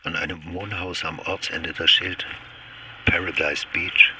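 An actor playing a brooding observer, narrating dryly in a radio drama. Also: male voice, sits low, little pitch movement, unhurried. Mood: dry observation. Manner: dry, observational. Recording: loud car and radio noise.

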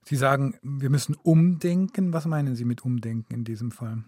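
A man's voice: mid-range voice, steady tone, brisk, a little mellow, no room sound, studio quality. Man asking probing interview questions. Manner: probing, carefully phrased questions. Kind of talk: broadcast interview.

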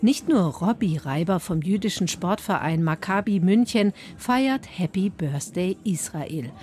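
A woman narrating a radio report in a clear, even way. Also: on the low side, measured pace, soft background music.

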